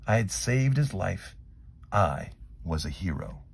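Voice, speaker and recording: A man reads a children's storybook with a very raspy, low voice. His delivery swings widely in pitch, dramatic and expressive, moving between hushed and emphatic phrasing. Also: slow pace. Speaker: storybook reader.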